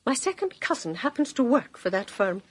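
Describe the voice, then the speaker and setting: Prim schoolmistress, worried, high and lively voice. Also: female voice, normal speed, breathy edge. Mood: anxious.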